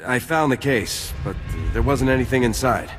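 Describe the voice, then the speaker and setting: A man's voice: medium pitch, moderate pace, fairly bright tone, in a large hall, soft background music, lightly acted. A man playing a brash, cynical army lieutenant in a video game, curt, cocky and insolent. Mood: curt, dismissive. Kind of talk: scripted game dialogue.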